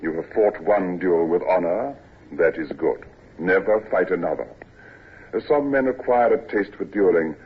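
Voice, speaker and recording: An actor playing an ailing, worn-out old navy captain who speaks with a husky voice and hesitates with frequent "uh"s, in a classic radio drama. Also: male voice, low-pitched, a little slow, slight background noise. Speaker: ship's captain. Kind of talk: 1940s-50s radio acting. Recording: tinny old radio broadcast recording.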